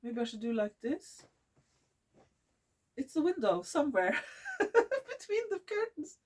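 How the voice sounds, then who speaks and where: A woman's voice: high voice, huge pitch swings, a little slow, a little mellow, slight background noise. Norwegian woman vlogging, hesitant, many fillers. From Norway. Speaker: environmental vlogger. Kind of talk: casual talk to camera. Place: living room at home.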